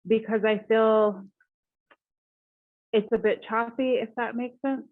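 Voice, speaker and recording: Soft quiet lady caller, slow hesitant talk, phone-like sound with no room echo. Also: a little high, steady tone. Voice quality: soft-spoken. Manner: hesitant, searching for words. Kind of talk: casual chat. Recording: dry, tinny call line.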